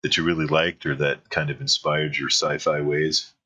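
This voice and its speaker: A man hosting an interview show, upbeat and asking quick questions. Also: rumbling low, little pitch movement, a crowd in the background. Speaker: podcast host. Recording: dull lo-fi audio.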